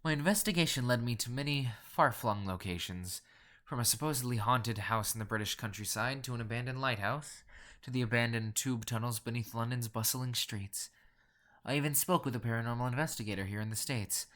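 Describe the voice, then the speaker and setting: A man performs a rich, obsessive collector with a fairly low voice. He is pompous and coolly formal, choosing grand words. The delivery is theatrical first-person narration for a horror audio drama. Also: fairly bright tone, lightly acted. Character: pompous, ruthless wealthy male collector, haughty and obsessive.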